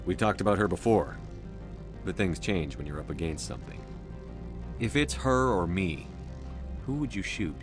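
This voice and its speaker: A low-pitched commander in a sci-fi game. He is terse, measured and firm, with clear music behind his words. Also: expressive. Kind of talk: game cutscene dialogue.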